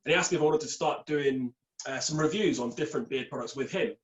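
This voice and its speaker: A man's voice: fairly high pitch, fast, rough recording. A young Scottish man with an English accent, chatting casually on a call as a podcast guest, rambling upbeat through his story. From Scotland. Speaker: podcast guest, beard product entrepreneur. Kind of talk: casual chat.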